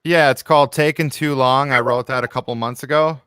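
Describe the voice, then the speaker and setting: Clear-voiced man in casual conversation. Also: mid-range voice, steady tone, a little fast, fairly bright tone, dry recording, clean studio sound. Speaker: musician guest. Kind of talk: casual chat on a live stream.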